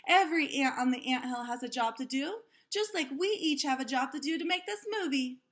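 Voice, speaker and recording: An eager, bossy storybook schoolgirl, acted out by a woman reader. Her voice is high and swings widely as she exclaims with dramatic emphasis. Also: expressive, a little fast, a bit bright. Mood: excited.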